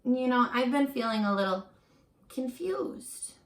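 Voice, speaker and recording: A woman teacher reading a picture book aloud to young children, expressive and encouraging, pausing for chatty asides and questions. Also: fairly high pitch. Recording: roomy echo.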